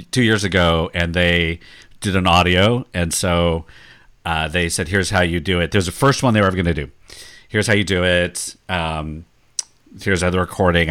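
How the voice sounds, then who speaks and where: A man's voice: low voice, a bit bright. A man hosting a casual podcast chat over a video call, laughing at himself and restarting his sentences. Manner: laughing, self-deprecating, restarts often. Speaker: podcast host.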